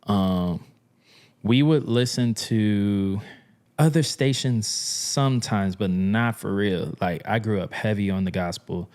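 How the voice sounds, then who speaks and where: A man's voice: fairly low pitch, takes it slowly, a little mellow. A young man from New Orleans, a drummer and music professor, telling stories in a casual, slangy podcast interview. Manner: laid-back, slangy, laughs often.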